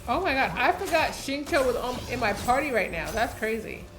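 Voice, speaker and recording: A woman's voice: a little high, lively intonation, fairly quick. A young woman giving casual, rambling live commentary on a game, wry and self-deprecating. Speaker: game streamer. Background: game music.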